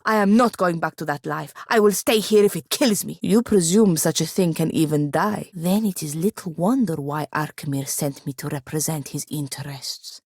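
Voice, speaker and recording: A sardonic, long-suffering female wizard's apprentice for a fantasy video game. Her voice sits fairly low, and she speaks formally with proud, exasperated bite. The recording is dry, free of room sound. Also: normal speed, few pauses, darkish tone, slightly rough, no room sound. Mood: frustrated, defiant, then irritated. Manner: dry, biting sarcasm with formal wording. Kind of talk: video game character voice acting.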